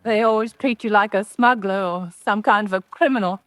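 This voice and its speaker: A woman's voice: medium pitch, fairly quick, fairly bright tone, no echo, natural voice. An actress playing a middle-aged wife and mother in an old-time radio drama, genteel and mildly complaining. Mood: indignant, polite. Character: genteel, fretful wife and mother at card table.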